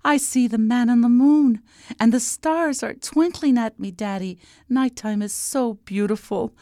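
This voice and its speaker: A woman's voice: on the high side, lively intonation, unhurried, a little mellow, dry recording, clean studio sound, pitched above the performer's own voice. A woman voicing a sweet, eager little girl princess full of wonder in a children's storybook.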